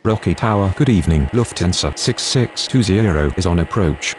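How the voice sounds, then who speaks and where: A man's voice: low voice, expressive, normal speed, slight background noise, poor audio. A man playing a businesslike airline radio voice, giving formal, clipped scripted phraseology. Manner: formal, procedural. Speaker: pilot and air traffic controller voices.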